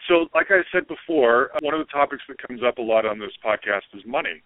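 A man's voice: mid-range voice, animated, fairly quick, no room sound. Male podcaster, dry phone-like sound. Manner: affirming, informal, roundabout questions. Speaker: podcast host, interviewer. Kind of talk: casual podcast interview. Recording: narrow phone-like band, dry.

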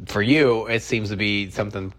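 An American man in his thirties hosting a casual comedy podcast, swearing freely and telling stories in an animated, self-deprecating way. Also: fairly low pitch, normal speed. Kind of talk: casual, profane chat about movies and games.

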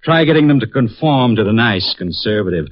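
Brooding young prof, deep voice, cynical and somber, lo-fi old radio. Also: male voice, animated, fairly quick, no echo. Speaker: professor. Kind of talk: 1950s radio drama acting. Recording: dull lo-fi 1950s radio broadcast.

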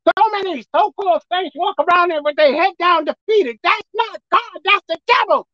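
A man with a squeaky voice preaching a sermon as pastor, emphatic and forceful. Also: normal speed, dry recording, muffled recording. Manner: emphatic, pitch leaping up and down.

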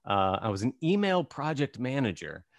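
A man in his thirties hosting an interview show, relaxed and rambling, with many fillers. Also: mid-range voice, normal speed, dry recording, studio quality. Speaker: podcast host. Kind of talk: casual interview chat.

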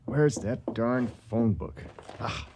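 Flustered, animated male rival restaurateur. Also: medium pitch, slow, slight background noise. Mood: grudging. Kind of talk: radio comedy drama acting.

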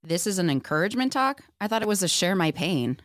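A woman reads as a dejected young slayer in a TV script table read. Her voice sits a little low and swings in pitch, while the delivery stays dry, weary and deadpan. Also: fast talker, dry recording. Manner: deadpan, downbeat.

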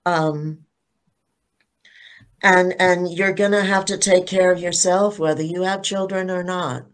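Slow-speaking older woman panelist. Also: fairly low pitch, steady tone. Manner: slow, thoughtful, with frequent restarts. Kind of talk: casual panel discussion. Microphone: video call.

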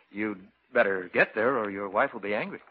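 A man's voice: on the low side, a little slow, husky. A man playing a level-headed, sceptical fisherman in an old radio drama, both narrating and acting out the tale. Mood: puzzled, amused. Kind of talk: 1940s-50s radio acting and first-person narration. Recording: dull vintage radio recording with music.

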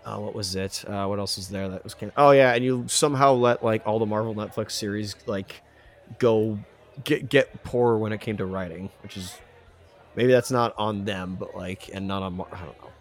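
A man's voice: on the low side, fairly even intonation, moderate pace. A man co-hosting a casual podcast chat, rambling and slangy with frequent exclamations. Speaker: podcast co-host.

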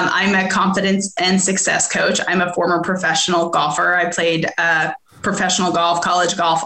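Bright-voiced chatty woman in an echoey room. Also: lowish voice. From Canada. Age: late 30s. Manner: upbeat, chatty, laughs easily. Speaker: guest, confidence and success coach. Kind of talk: casual interview chat.

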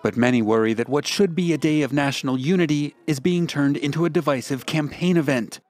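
A man's voice: fairly high pitch, a little fast, a little mellow. A man narrating a scripted news report as a correspondent.

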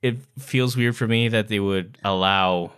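A man talks as a podcast co-host in a relaxed book discussion. His voice sits a bit low and sounds clean and resonant. The delivery is casual and conversational. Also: measured pace, clear, resonant tone.

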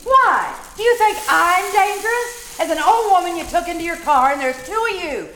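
A woman playing a frantic, pleading and menacing old woman in a radio suspense play, with breathless, halting delivery. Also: very high-pitched, animated, sharp tone, full character voice. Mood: suspicious, menacing. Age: older. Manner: breathless moaning, halting repetitions, melodramatic pleading. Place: live performance at a winery. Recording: echoey, noisy live stage recording.

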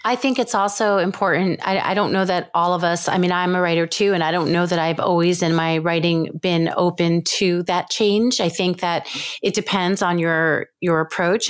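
Thoughtful woman podcast host. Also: fairly low pitch. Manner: thoughtful, asks winding questions. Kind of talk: interview podcast hosting.

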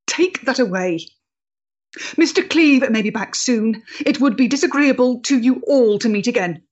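A woman playing a proud, bitter, disillusioned woman in a period drama, speaking with intense deliberation and a husky voice. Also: on the high side, lively intonation, moderate pace, highs cut off. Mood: cold, dismissive. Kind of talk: period audio drama acting.

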